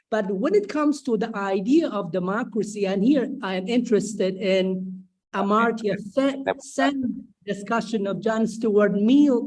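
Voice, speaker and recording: A woman from Sweden giving spontaneous academic remarks, deliberate and emphatic, repeating phrases for stress. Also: medium pitch, darkish tone, low-quality recording. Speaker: symposium host, social scientist. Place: online video symposium.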